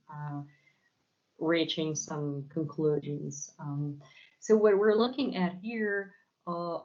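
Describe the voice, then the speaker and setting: A low-pitched woman with a Polish accent lectures unhurriedly, with frequent pauses and repeated words. The sound is muffled and lo-fi. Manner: hesitant, many fillers and repeated words. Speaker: academic lecturer. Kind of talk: academic conference talk.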